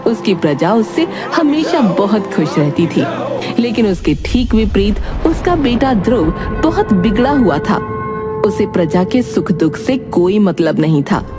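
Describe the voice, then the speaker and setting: Expressive woman narrating a story. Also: mid-range voice, a little slow, music in the background.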